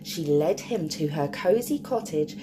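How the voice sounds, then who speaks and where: Animated woman reading to children, very lively pitch. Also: unhurried, background noise. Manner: addresses young listeners, draws out key words. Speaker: children's storyteller. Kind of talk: expressive picture-book read-aloud for children.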